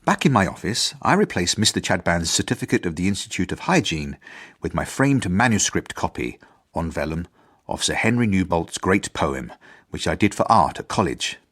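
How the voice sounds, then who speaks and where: A man's voice: low voice, big pitch swings, normal speed, a bit bright, husky, full character voice. A man acting an earnest, fussy British headmaster in a radio drama, formal and self-important as he narrates his journal. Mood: earnest, officious. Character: earnest, idealistic, fussy male school headmaster. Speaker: actor.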